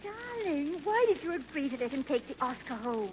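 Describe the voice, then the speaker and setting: A gracious wife in a radio comedy. Her voice sits high. The old 1940s broadcast recording is muffled and very noisy. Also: very animated, moderate pace. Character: gracious wife of a gentleman movie star.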